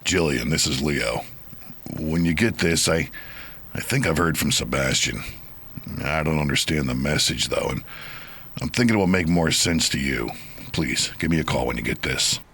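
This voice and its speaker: An actor playing a troubled, weary man in an audio drama, speaking gravely and hesitantly in a husky voice. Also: rumbling low, moderate pace, a bit bright, full character voice. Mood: uneasy. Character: troubled, weary man, gothic mystery lead.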